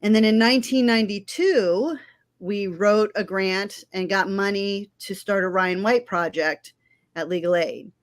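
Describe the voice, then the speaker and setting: A woman in her fifties, raised in Florida, works as a legal aid attorney. She answers interview questions in a friendly, measured way and fills her pauses with frequent ums. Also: mid-range voice. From Florida, United States. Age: 50s.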